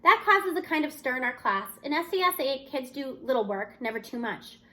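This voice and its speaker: High-pitched woman reading a story aloud. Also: animated, brisk, pushed and bright. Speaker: audiobook-style reader. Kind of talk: expressive read-aloud of a children's novel, first-person student narration.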